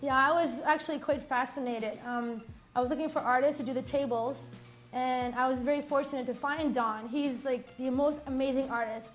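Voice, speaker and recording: A woman's voice: high-pitched, fairly even intonation, moderate pace, soft background music. A woman hosting an interview, chatting casually and enthusiastically with her guest. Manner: enthusiastic, admiring. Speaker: interview host.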